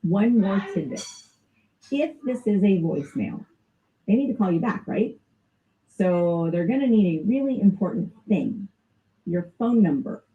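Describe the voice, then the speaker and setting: A woman with a Southern US accent coaches listeners on sales calls in a relaxed, informal tone, laughing easily as she goes. Her voice sits a bit low and sounds warm and mellow. The recording is slightly dull and lo-fi. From American South. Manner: casual, informal, laughs often. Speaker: sales trainer. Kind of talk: recorded training lesson.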